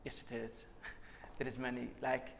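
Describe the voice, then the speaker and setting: A young man from Syria giving short, plain answers in an interview, his voice trailing off quietly at the ends. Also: medium pitch, monotone, a little slow, vocal fry, big-room sound.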